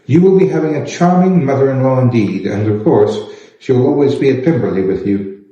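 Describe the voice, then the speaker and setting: Snobbish young lady of society performed by a man, arch and haughty, mellow storybook delivery, very roomy recording. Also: mid-range voice, a little fast, close to an everyday voice. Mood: mocking astonishment. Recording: echoey room, slightly dull sound.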